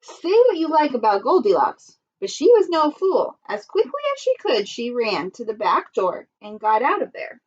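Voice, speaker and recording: A woman's voice: on the high side, very animated, moderate pace, few pauses, breathy edge, dull audio. A woman primary school teacher speaking expressively and instructively to young children in simple phrasing, as in a read-aloud lesson.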